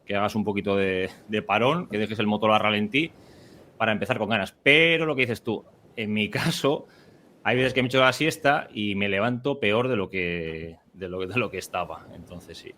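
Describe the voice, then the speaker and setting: A man's voice: on the low side, moderate pace, sharp tone. A male podcast host chats in a loose, rambling way. He restarts his sentences often, fills gaps with "eh" and breaks into laughter here and there. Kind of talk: casual conversational interview.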